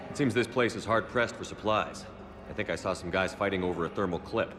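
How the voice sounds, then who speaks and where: An actor playing a steady human soldier commander in a video game cutscene, speaking in a calm, dry, deadpan way. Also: male voice, sits low, fairly quick, natural voice.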